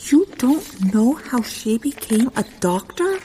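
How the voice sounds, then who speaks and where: A woman playing an excitable, gossipy garden worker with a conspiratorial, slangy manner in a comedy audio drama. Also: a little high, slow, slight background noise.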